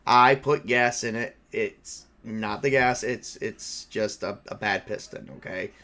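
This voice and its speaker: A man's voice: fairly low pitch, unhurried, fairly bright tone. A man improvising as a broke, defensive college teaching assistant in his thirties in a tabletop roleplay.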